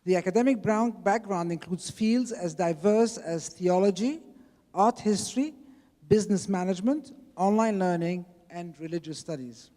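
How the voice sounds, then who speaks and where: A man hosting a conference, introducing the keynote speaker in a halting way with light laughs. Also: high-pitched, mellow. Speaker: event host. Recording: lecture hall reverb.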